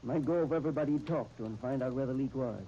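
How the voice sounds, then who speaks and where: A man's voice: medium pitch, racing, mellow, natural voice. Man acting a shrewd professor, muffled old radio. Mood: cautioning. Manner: emphatic, cautioning, old-fashioned slangy talk. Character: shrewd, emphatic professor and legal counsel to a young man. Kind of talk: 1930s radio soap opera acting. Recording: muffled, hissy 1939 radio disc recording.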